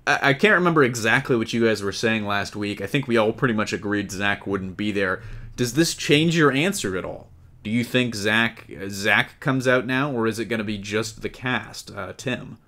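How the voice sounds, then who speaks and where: A man's voice: lowish voice, moderate pace, pushed and bright. A man hosting a casual entertainment news discussion in a conversational way, putting questions to his co-hosts. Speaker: podcast host.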